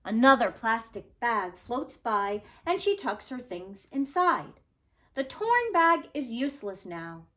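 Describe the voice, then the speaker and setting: A woman reading a children's picture book aloud like a teacher, with deliberate, expressive storytelling delivery. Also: on the high side.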